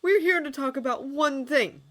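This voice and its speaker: A woman's voice: sits high, normal speed, fairly bright tone, voice pushed up. A woman voicing an earnest, awkward young man who rides dragons, speaking hesitantly in a story reading. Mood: evasive.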